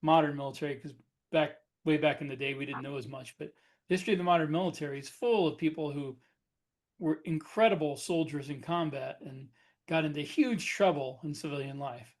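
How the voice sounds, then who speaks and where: A man's voice: a little high, brisk. A man co-hosting a podcast, talking hesitantly and searching for his words. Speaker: podcast co-host. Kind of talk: casual chat.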